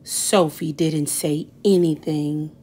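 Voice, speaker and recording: A woman narrating a picture book for children as a storyteller, with expressive, dramatic emphasis on key words. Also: deep voice, slow pace. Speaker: children's read-aloud storyteller.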